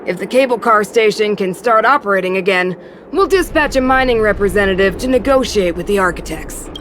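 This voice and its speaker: Actress as a businesslike mid-pitched woman. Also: fairly quick, noisy background, close to an everyday voice. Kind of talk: scripted video game cutscene acting.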